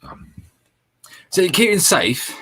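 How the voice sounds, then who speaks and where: A man's voice: sits high, big pitch swings, slow pace, pushed and bright, husky. A man, co-hosting a gaming stream in casual, sweary, joking banter. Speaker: co-host of a gaming radio stream.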